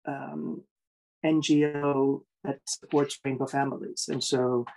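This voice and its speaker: A man in his forties, an author, chatting quickly about his own translations on a video call from a room at home. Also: medium pitch, creaky, poor audio. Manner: quick, chatty, animated about the books he shows. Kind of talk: online panel talk. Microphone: laptop webcam video call.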